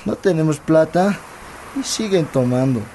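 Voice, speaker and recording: Resentful schoolboy, higher-pitched young man acting. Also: lively intonation, a little slow, warm tone. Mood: bitter, worried. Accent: Bolivian. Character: angry, resentful schoolboy son of drinking parents. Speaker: radio drama actor. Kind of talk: radio drama acting. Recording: clearly noisy scene ambience.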